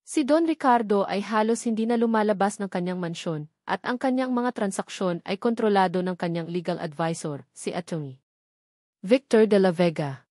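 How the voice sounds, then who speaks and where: A woman narrates a scripted drama in a storytelling style. Her voice sits at a middle pitch, and the recording is dry, with no room around it. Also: moderate pace, a little mellow, no echo.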